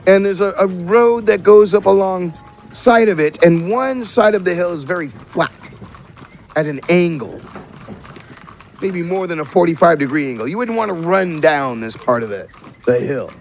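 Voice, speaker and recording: Higher-voiced man game-mastering over music. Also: expressive, moderate pace. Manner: conversational, feeling his way through descriptions. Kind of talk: narrating a tabletop fantasy game in improvised descriptions. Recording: music under the voice.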